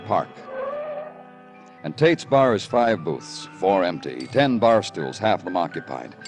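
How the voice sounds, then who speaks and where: An actor playing a world-weary police detective in old radio noir, speaking in brooding, poetic, clipped phrases. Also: male voice, sits low, very animated, a little slow, music in the background, heavily acted. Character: world-weary male police detective narrating his own case. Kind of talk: 1950s radio noir narration.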